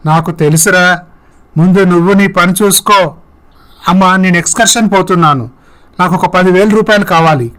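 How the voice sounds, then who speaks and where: A man's voice: high-pitched, normal speed, long pauses, fairly bright tone, rough recording. A man voicing a carefree, spoilt young male student in a scripted story, breezy and cocky in manner. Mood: teasing, careless.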